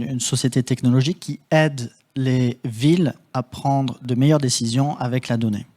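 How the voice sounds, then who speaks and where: A startup founder on a panel, describing his company eagerly with some halting repeats. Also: mid-range voice, a little mellow. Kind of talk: panel discussion.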